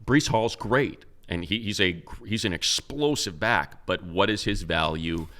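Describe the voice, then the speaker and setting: A man's voice: deep voice, a little slow, fairly bright tone. An American man talking football casually as a co-host, giving his opinions with emphasis. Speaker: sports radio announcer and podcast co-host. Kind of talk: casual football analysis chat.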